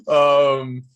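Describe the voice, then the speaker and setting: A man's voice: a little high, fairly bright tone. A chatty man on a podcast panel, bantering casually and often repeating his phrases.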